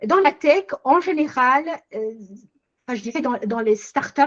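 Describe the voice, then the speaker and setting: A woman's voice: high voice, expressive, moderate pace, a bit bright, lo-fi sound. An older woman hosting a webinar, recapping and reframing her guest's points in a thoughtful way over a video call. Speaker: webinar host. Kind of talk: webinar discussion, summarizing.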